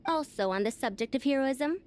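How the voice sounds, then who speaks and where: A woman's voice: sits high, expressive, a little fast, darkish tone. A woman playing a refined, confident lady scholar in a video game, with a formal, theatrical and grandiose manner. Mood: thoughtful. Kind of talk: video game character acting.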